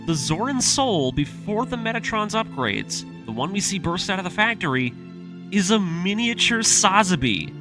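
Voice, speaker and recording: A man delivering an enthusiastic video essay as an excitable fan, informal and emphatic, with a storyteller's sense of drama. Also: high voice, moderate pace. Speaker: video creator and narrator. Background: music under the voice.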